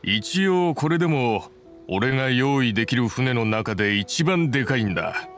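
A man's voice: mid-range voice, expressive, moderate pace, fairly bright tone, close to an everyday voice. A man playing a plain-spoken, modest boat builder in an anime game, talking in a casual way. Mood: frank, modest. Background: music.

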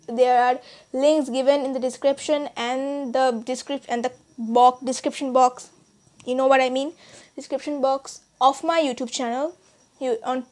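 A woman's voice: sits high, a little mellow. A teenage girl from India with an Indian accent, chatting casually to her subscribers, rambling with many restarts and fillers. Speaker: YouTuber. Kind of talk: casual vlog chat. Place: small bedroom, indoors.

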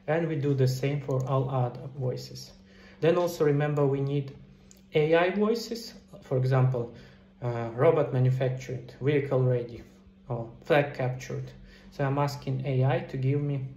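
A man's voice: medium pitch, unhurried, mellow. A middle-aged man giving a casual step-by-step tutorial, explaining things directly to viewers as a game developer. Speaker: video tutorial presenter, indie game developer. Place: indoor room. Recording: roomy echo, some background noise.

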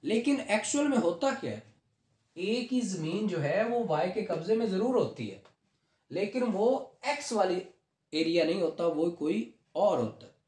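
A high-voiced man with a Pakistani accent teaches law in an echoing room. He explains patiently in steps, restating key points and dropping in English legal terms. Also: expressive, a little slow, darkish tone. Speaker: law lecturer. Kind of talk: classroom lecture with questions and explanations. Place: small room at a whiteboard, indoors. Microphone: camera a few steps away. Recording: roomy echoing indoor recording.

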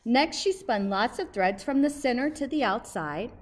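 A woman in her thirties reading a picture book aloud expressively and emphatically, like a teacher, pausing to ask questions. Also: a little high, animated. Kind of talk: expressive children's storybook read-aloud. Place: outdoors by a brick building entrance. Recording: faint outdoor background noise.

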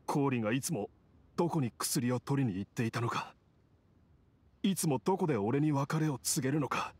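An actor playing an anguished, determined young husband in an anime game, earnest, strained and pleading. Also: male voice, medium pitch, expressive, moderate pace, husky, no room sound. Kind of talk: Japanese anime game voice acting.

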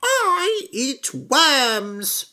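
A woman voicing a vain, fussy female chicken with a shrill, squawking voice in a storybook reading. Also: sing-song, extremely slow, pushed and bright, full character voice. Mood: disgusted.

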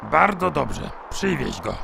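A man's voice: medium pitch, sing-song, slow, vocal fry, background noise, heavily acted. A man voicing a tiny ancient alien master, solemn, speaking in inverted word order in a fan parody dub.

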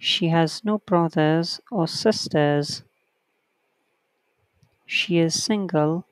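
Writing teacher, unhurried and methodical, smooth clear voice, dry close sound. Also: medium pitch, no echo, rough recording. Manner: reads sentences out slowly and counts corrections aloud. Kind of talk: slow online lesson, reading a textbook aloud.

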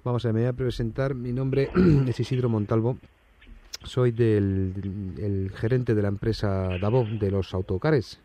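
A man's voice: lowish voice, steady tone, a little slow, dark tone, pitched below the performer's own voice. A man with a Spanish accent from Spain playing an indignant, bullying company manager on a prank phone call, speaking in formal, hesitant phrases or blunt, coarse threats. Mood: stiff, formal.

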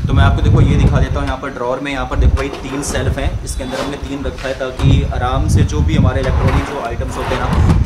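A man's voice: mid-range voice, roomy sound, heavy background noise. A young man in his twenties chatting casually to a handheld camera as a vlogger, walking viewers through his room and a carpenter's shop. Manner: chatty, addresses viewers as brother. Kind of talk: casual vlog talk to camera. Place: bare room under renovation, then a carpenter's workshop. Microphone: handheld camera at arm's length.

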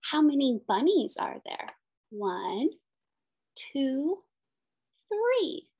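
A woman's voice: sits high, big pitch swings, drawn-out words, dark tone, muffled recording. A woman in her thirties reading a picture book aloud as a teacher, in simple sing-song phrases with questions for young children. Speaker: special education teacher.

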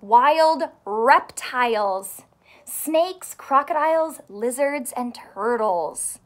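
A woman with a high voice gives a read-aloud lesson for young children. She takes her time, speaking slowly and expressively, with clearly enunciated words and questions for her young listeners. She sounds like a primary school teacher in her thirties. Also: animated. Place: small home room with sofa and soft toys.

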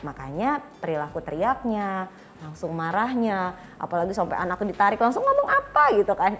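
A woman in her thirties with an Indonesian accent, explaining as a guest expert in informal talk and acting out short dialogues. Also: medium pitch. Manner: acts out short parent and child dialogues. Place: small furnished studio set, indoors. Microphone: clip-on lapel mic.

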